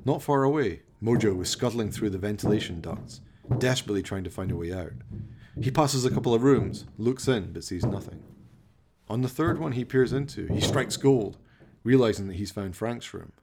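A man's voice: fairly low pitch, lively intonation, normal speed, noisy background. A man narrating a radio play as dramatic scripted storytelling.

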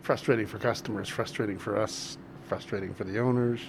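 A man who co-manages a cinema speaks plainly and matter-of-factly in a lowish voice. He was recorded in a theatre lobby, and clear background noise sits under his voice. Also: fairly even intonation, fairly quick. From Ontario, Canada. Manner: plain-spoken, matter-of-fact. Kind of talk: casual interview.

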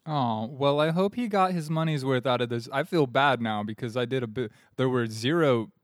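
A man's voice: mid-range voice, moderate pace, no echo, clean studio sound. A man in his thirties, a comedian guest on a podcast, rambling in a self-deprecating, mock-earnest way. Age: 30s.

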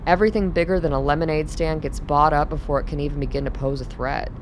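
A woman playing a world-weary, bitter older radical doctor in a radio drama, explaining things in a measured, cynical way over a low background rumble. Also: fairly even intonation, lightly acted. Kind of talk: scripted radio drama dialogue.

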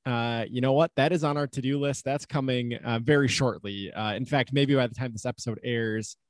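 A man's voice: medium pitch, a little fast, no echo. Regular guy hosting a podcast, mid voice, chill and jokey, lots of ums, dry close mic. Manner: relaxed, joking, many fillers. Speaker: podcast co-host, actuary. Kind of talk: casual chat.

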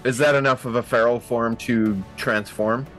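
A man playing a tabletop game, describing his character's actions in a halting, theatrical way. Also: mid-range voice, steady tone, a bit bright, soft background music.

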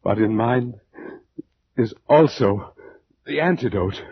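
An actor playing a jealous, vengeful ancient Egyptian murderer with theatrical, deliberate 1940s radio drama delivery. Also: male voice, mid-range voice, lively intonation. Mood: gloating. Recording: dull, noisy 1940s radio recording.

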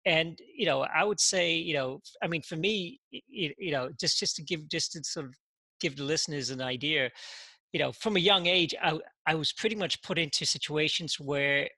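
A man, a podcast guest telling his story in casual interview talk, halting and full of "you know" and repeated words. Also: a little high, sharp tone, no echo. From England.

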